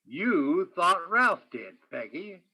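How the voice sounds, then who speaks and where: A man's voice: high-pitched, sing-song, takes it slowly, bright, forceful tone, husky, no room sound, heavily acted. A man playing a persistent, world-weary hard-boiled detective in a radio crime drama. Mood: accusing, insistent. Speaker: stage actor in a radio play. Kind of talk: old-time radio crime drama acting.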